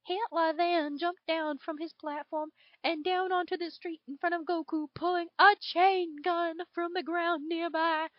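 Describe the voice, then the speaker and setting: Southern belle dragon played by a woman, extremely high voice, theatrical storybook narrator, dull lo-fi sound. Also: steady tone, dry recording. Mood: dramatic storytelling. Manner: theatrical, drawn-out emphasis. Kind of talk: dramatic fan fiction reading. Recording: dull lo-fi home recording.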